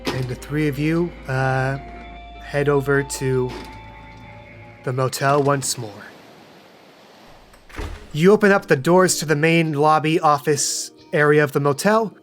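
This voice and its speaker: Male game master, slightly high voice, setting scenes for players in second person, light music underneath. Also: slow pace, long pauses. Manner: descriptive second-person narration. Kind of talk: narrating a tabletop roleplay game.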